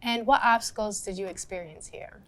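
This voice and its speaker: A woman's voice: medium pitch, fairly quick. A teenage girl interviewing a classmate for a school project, opening with a casual vlog-style greeting. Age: teens. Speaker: student interviewer.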